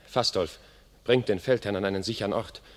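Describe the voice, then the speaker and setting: An actor playing a loyal, fierce English prince in a medieval war, declaiming classical German verse with urgency. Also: male voice, fairly low pitch, brisk, natural voice. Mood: urgent, grave.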